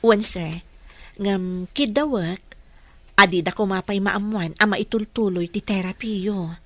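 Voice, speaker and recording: A woman playing a patient, dutiful caregiver in a radio drama, speaking politely and gently giving instructions. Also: mid-range voice, measured pace, no room sound, natural voice. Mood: encouraging. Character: patient, dutiful young female caregiver coaching a patient. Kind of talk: Ilocano radio drama acting.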